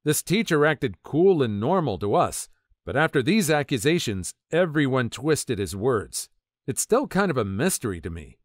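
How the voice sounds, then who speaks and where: Male narrator for story readings, mid pitch, very lively swings, dry close voice. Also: normal speed, no echo. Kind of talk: scripted narration of online stories.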